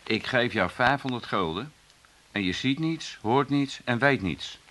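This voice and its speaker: An actor playing a shifty, wheedling small-time crook with an Amsterdam accent in a comic radio drama. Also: male voice, mid-range voice, lively intonation, unhurried, a bit bright, slight background noise.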